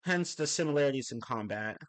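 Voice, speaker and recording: A man's voice: medium pitch, animated, a little fast. A young man chatting casually on a live stream, reading and answering chat messages. He rambles, corrects himself and swears casually. His voice is a little off, left over from a cold. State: slightly off voice after a cold.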